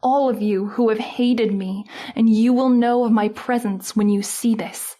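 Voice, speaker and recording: Radio horror drama: actress as fearful, cautious woman of a haunted house, highish voice. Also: fairly even intonation, a little slow, mellow, lightly acted. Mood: frightened. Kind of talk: old-time radio horror acting.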